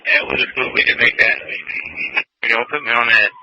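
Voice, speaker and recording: A man's voice: medium pitch, normal speed, sharp tone, no room sound, poor audio. A man working as a firefighter, making terse, informal check-ins over two-way radio.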